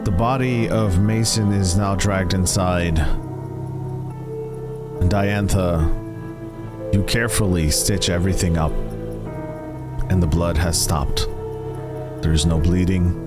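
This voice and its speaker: A man running a tabletop game as game master, narrating in a deliberate, dramatic way. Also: deep voice, fairly even intonation. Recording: loud music behind the voice. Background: loud music.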